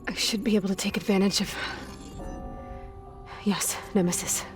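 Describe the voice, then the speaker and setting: A woman playing a determined young warrior in a video game, earnest and guarded, often dropping into a hushed, intent delivery. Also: mid-range voice, fairly even intonation, moderate pace, lightly acted. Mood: hushed alarm. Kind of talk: video game cutscene acting. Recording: background music.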